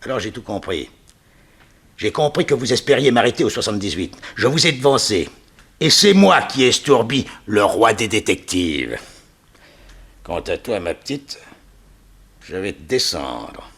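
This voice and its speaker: Casting: murderous radio-serial thief. Mock-polite, greedy, sharp-edged voice with husky grain, swinging widely. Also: male voice, lowish voice, big pitch swings, normal speed, long pauses, exaggerated voice. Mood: gloating menace. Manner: theatrical, mock-polite, chuckling menace. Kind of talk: 1960s French radio crime serial acting.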